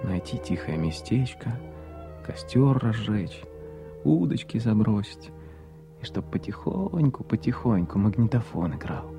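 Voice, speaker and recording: An actor in a radio drama playing a conscientious, brisk male doctor who muses and insists. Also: lowish voice, very animated. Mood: dreamy, reflective. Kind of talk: Soviet radio drama acting. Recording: 1980s radio play with music. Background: music under the voice.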